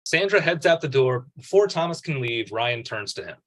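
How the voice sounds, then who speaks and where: A man's voice: mid-range voice, big pitch swings, normal speed, a bit bright. A man reading out a script's stage directions as scripted narration at a table read.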